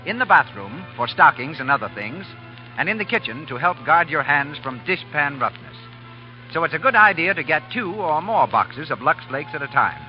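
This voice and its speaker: Animated man announcing on old-time radio. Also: on the high side, normal speed. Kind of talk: 1930s radio announcing and ad reading. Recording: dull 1938 radio broadcast, music behind.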